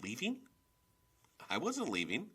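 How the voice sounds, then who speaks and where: A man voicing a timid young girl in a storybook, speaking in a shaky, halting, pleading way as she stands her ground. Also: medium pitch, huge pitch swings, pushed and bright, husky, voice pushed up, full character voice. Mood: stunned.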